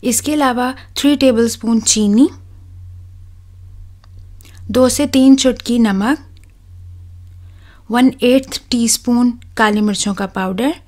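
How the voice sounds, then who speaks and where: A young woman hosts a cooking video and walks the viewer through each step. Her voice sits a little on the high side. She speaks steadily and clearly, close to the mic in a voice-over. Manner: steady, instructive, addresses viewers directly. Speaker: cooking channel host. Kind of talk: voice-over recipe tutorial.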